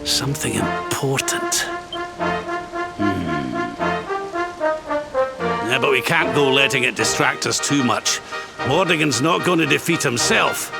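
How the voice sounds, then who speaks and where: Gravelly old adventurer. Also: male voice, mid-range voice, huge pitch swings, measured pace, long pauses, full character voice. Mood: earnest, resolute. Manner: folksy, plain-spoken. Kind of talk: video game cutscene acting. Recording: loud game music underneath.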